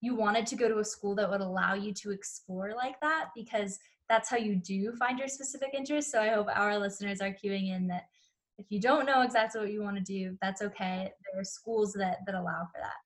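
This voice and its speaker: Woman podcast host, halting questions. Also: medium pitch, steady tone. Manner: halting, restarts phrases. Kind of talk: interview questions.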